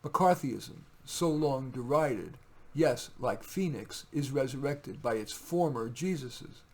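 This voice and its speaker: A man's voice: medium pitch, lively intonation, slow. Man giving an emphatic poetry reading. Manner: emphatic, theatrical when reading verse. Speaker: poet reading own work. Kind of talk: poetry reading with casual asides.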